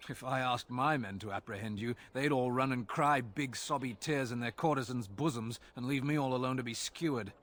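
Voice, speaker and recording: An actor playing a sardonic, world-weary city guard sergeant in a fantasy video game, plain-spoken and grumbling. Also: male voice, mid-range voice, brisk, hardly any pauses, fairly bright tone, lightly acted. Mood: wry, frank. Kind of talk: video game character acting.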